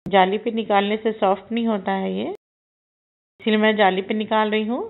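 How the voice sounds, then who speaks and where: A woman, the host of a cooking channel, calmly narrating each step of a recipe as she does it. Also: medium pitch, moderate pace, narrow, tinny audio.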